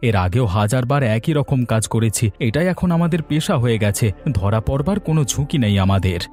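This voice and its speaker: A man's voice: mid-range voice, lively intonation, fairly quick, darkish tone, faint music behind, lightly acted. A man acting a boastful, self-assured career thief in a cartoon story.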